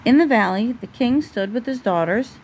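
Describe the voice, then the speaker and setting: A woman's voice: fairly high pitch, animated, moderate pace, darkish tone. Woman reading a bedtime story, faint noise. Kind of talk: storybook read-aloud. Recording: faint background noise, home recording.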